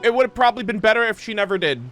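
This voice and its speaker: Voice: medium pitch, very fast, a bit bright, faint music behind. A game streamer reacting casually to a story as it plays, exclaiming in slang and swearing freely.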